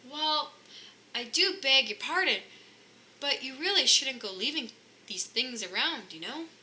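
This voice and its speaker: A woman's voice: high voice, expressive, a little slow, pushed and bright, voice pushed up, exaggerated voice. A woman voicing a muddled, mild-mannered and absent-minded father in a children's storybook. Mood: polite. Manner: muddled, politely scatterbrained.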